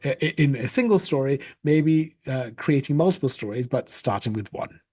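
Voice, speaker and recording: Brief: man from Sri Lanka, husky, lively intonation, patient teacher explaining step by step. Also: mid-range voice, normal speed. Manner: patient and explanatory, with frequent restarts. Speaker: storytelling coach. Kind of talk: online teaching talk.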